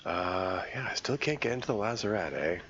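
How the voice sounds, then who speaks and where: A man streaming a game, congested from a cold, commenting live and thinking aloud in a halting way as he works through puzzles. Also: on the low side, animated, a bit bright, highs cut off.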